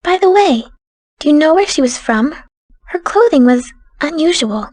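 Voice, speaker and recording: A woman's voice: sits high, expressive, normal speed, a little mellow, no room sound. A woman voicing a reserved, bookish young girl in a video game, with blunt, precise, formal phrasing. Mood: matter-of-fact.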